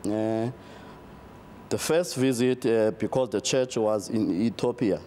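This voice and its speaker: Middle-aged South African pastor, low and warm, slow and halting in a studio interview. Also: male voice, a little hiss or hum. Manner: halting, many fillers and restarts, slow. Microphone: lapel.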